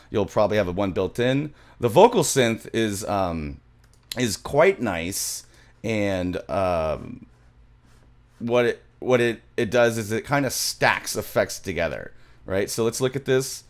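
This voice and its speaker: A man's voice: lowish voice, a little slow. Rambling, enthusiastic man hosting a tutorial. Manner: rambling, enthusiastic, self-interrupting. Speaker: music production tutorial host. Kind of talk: casual screen-share tutorial chat. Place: small indoor home studio. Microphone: close studio microphone, headphones on.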